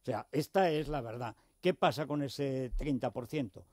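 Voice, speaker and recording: A man's voice: medium pitch, moderate pace, breathy edge, no room sound, studio quality. A man with an accent from Spain, talking politics on a radio show in an emphatic, sarcastic, digressive way. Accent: Spanish from Spain. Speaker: radio talk show host. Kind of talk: impassioned political discussion.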